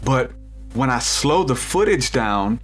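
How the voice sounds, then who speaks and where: Deep-voiced man theorizing on a podcast. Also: takes it slowly, slightly rough, faint music behind. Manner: rambling, animated theorizing with restarts. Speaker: podcast host. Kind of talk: casual spiritual chat.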